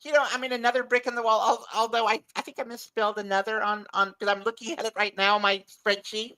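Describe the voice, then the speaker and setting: Hoarse middle-aged woman, bright and a bit high, eager panel chatter. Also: level tone, fast talker, rough recording. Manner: enthusiastic, repeats and restarts words. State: losing her voice, hoarse. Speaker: podcast panelist. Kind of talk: casual chat.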